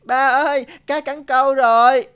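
Casting: woman as young boy, children's cartoon, eager, impatient, higher register. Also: steady tone, moderate pace, over music, close to an everyday voice. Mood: excited. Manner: childlike, polite.

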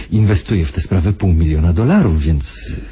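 A rough, very husky homicide lieutenant from a radio thriller, low and lively in intonation. He probes with hesitant, dry irony. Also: normal speed, heavily acted. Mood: probing. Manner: hesitant, probing, dryly ironic. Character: probing, sardonic male homicide lieutenant posing as criminal client. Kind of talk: Polish radio crime drama acting. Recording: dull, muffled radio recording.

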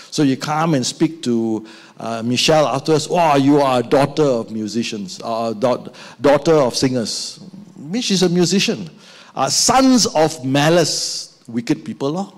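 An older man with a Malaysian English accent preaching a sermon on a church stage into a handheld mic, acting out little scenes as he goes. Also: mid-range voice. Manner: animated, acts out little scenes with put-on voices. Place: church stage with band instruments.

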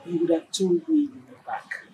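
A woman's voice: on the low side, normal speed, creaky, warm tone, a little hiss or hum, low-quality recording. An actress playing a middle-aged mother of a grown son, with a Nigerian accent and a motherly film drama delivery. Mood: reproachful.

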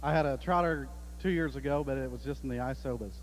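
A man, a horse trainer, giving short, quiet answers in a trackside interview. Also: mid-range voice, fast, slight background noise, poor audio.

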